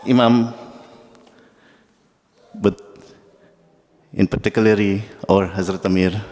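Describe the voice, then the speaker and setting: Deep-voiced Dutch-speaking man in a hall. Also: darkish tone. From Suriname. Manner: halting, with many fillers and restarts. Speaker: guest speaker. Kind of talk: ceremonial speech. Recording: long hall reverb.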